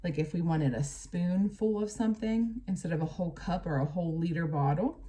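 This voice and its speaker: A woman's voice: lowish voice, a little fast, warm tone. An American woman reads a lesson aloud as a teacher, adding plain explanatory asides addressed directly to children. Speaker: teacher reading a book aloud to pupils.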